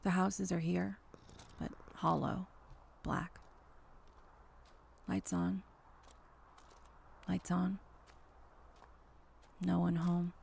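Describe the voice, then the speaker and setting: A woman narrating a first-person horror story dramatically, in halting, intense fragments, with a gravelly, creaky voice. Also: fairly low pitch, little pitch movement, a little mellow, slight background noise.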